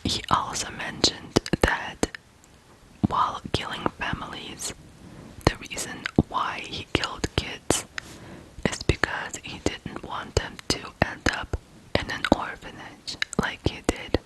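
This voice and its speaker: A person from Ukraine telling stories in whispered ASMR style, softly and deliberately, pausing between short phrases. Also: low-quality recording. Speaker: ASMR true-crime host.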